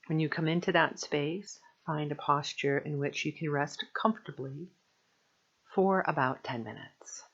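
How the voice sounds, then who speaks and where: A woman guiding a meditation as a teacher, speaking gently and soothingly in measured phrases with long pauses. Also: deep voice, lo-fi sound. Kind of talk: guided meditation narration.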